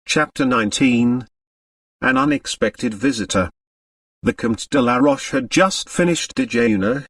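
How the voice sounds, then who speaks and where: A man's voice: medium pitch, creaky, a bit bright, no echo, studio quality, distant mic. A man reading a novel aloud as scripted, dramatic audiobook narration.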